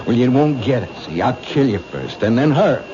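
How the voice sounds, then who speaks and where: A man's voice: medium pitch, big pitch swings, moderate pace, crowd noise, lightly acted. An actor playing a menacing, self-righteous male killer in a radio drama, threatening and defiant. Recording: muffled old radio drama broadcast, background din.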